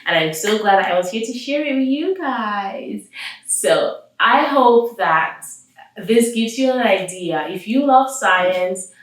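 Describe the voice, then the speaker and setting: A woman reading a story aloud to children as host, expressive and enthusiastic, with chatty asides and drawn-out emphasis. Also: on the high side. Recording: very echoey room, distant mic, music behind.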